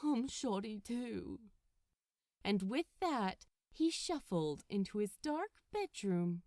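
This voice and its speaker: A woman reading a story aloud as narrator, in an expressive scripted storytelling style. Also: mid-range voice, no room sound, studio quality. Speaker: fan fiction narrator.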